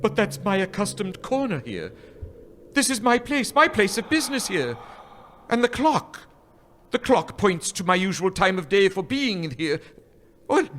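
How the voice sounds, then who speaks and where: Man as fearful old miser begging a spirit, high lively voice, music behind. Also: a little hiss or hum, heavily acted. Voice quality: lively, imploring. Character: frightened old male miser pleading with a ghost. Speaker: radio play actor. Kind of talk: live radio play acting.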